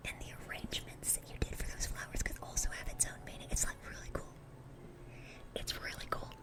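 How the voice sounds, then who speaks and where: A woman's voice: a little fast, close to an everyday voice. A woman playing a dorky, sweet-natured young demon girl in an ASMR roleplay, whispering in a rambling, chatty, earnest way. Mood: sweet, excited. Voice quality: breathy, unvoiced whisper.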